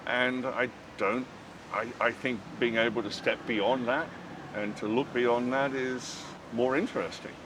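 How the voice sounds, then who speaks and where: Australian man, middle-aged, rambling and laughing, distant mic, urban noise. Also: mid-range voice. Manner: rambling, laughs often, many "you know"s. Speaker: interview guest. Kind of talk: casual storytelling interview.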